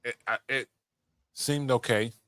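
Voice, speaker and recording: Male podcast co-host, forceful bright voice, opinionated and sweary casual chat, close dry sound. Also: mid-range voice, steady tone, measured pace, no room sound. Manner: blunt, opinionated, swears freely. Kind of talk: casual chat about movies and games.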